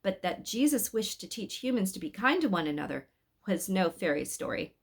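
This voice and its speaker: A woman's voice: mid-range voice, very animated, normal speed, slightly rough. Woman reading as pedantic, skeptical wise men.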